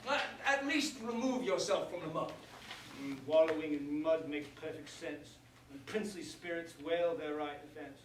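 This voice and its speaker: A man acts out a pompous young prince, bitter and grand, in a verse comedy. The voice is high and theatrical, speaking formally. It comes from well off the mic in a strongly reverberant room with faint noise. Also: moderate pace, far from the mic, close to an everyday voice. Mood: livid, scornful. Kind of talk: staged reading of verse comedy.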